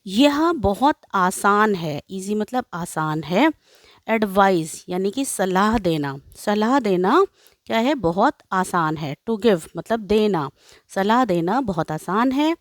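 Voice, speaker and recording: A woman's voice: mid-range voice, expressive, slow, no echo, clean studio sound. An Indian woman teaching English through line-by-line translation, explaining and repeating phrases with frequent check-in tags.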